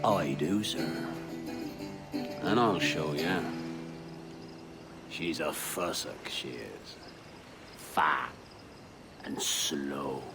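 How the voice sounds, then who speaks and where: An actor playing a bold, proud pirate leader with theatrical, rolling oratory in a video game. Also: male voice, a bass voice, drawn-out words, pauses often, over loud music, heavily acted. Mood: scheming, dismissive. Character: bold, proud pirate leader, rousing and gruff-humoured man. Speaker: pirate captain. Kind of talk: dramatic video game acting.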